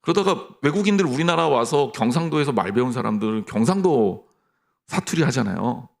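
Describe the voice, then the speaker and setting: A middle-aged man preaching a sermon as pastor, lively, mixing mock dialogue with personal stories. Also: mid-range voice, fairly quick, gravelly.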